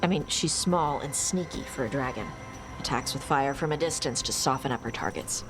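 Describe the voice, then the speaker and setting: An actress playing a blunt, guarded young dragon hunter in a video game, speaking in terse, casual phrases. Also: female voice, very deep voice, expressive, normal speed. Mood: focused, blunt. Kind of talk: video game cutscene acting. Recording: music behind the voice.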